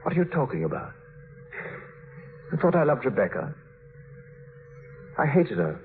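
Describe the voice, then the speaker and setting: A man's voice: mid-range voice, big pitch swings, a little slow, narrow, tinny audio. A man playing a tormented, guilt-burdened husband in a 1950s radio drama, grave and bitter in delivery. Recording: thin, faintly noisy vintage radio recording.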